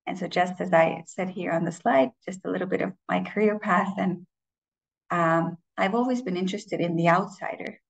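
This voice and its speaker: Woman in her 30s, English speaker, low gravelly voice, reflective presentation delivery. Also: rough recording. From Estonia. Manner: reflective, with frequent filler pauses and restarts. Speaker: conference speaker. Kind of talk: personal presentation talk.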